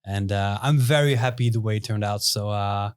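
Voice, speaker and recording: A young man hosting a casual podcast interview in a small studio, relaxed and chatty with lots of fillers. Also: fairly low pitch, moderate pace, clear, resonant tone, dry recording. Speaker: podcast host.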